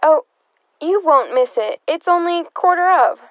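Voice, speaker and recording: Dull-sounding, high-voiced nosy interrogator played by a woman. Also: expressive, measured pace, no room sound. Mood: wry, needling. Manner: insinuating, wry, pointed questioning. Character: nosy, sardonic, probing interrogator of a murder suspect's sister. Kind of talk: theatrical radio drama acting.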